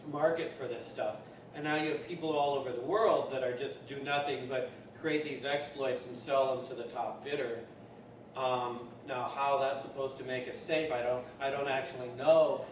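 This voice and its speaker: Lecturer taking questions in an echoey hall. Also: male voice, mid-range voice, recorded from a distance, a little hiss or hum. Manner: thinking aloud, loose and conversational. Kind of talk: answering audience questions.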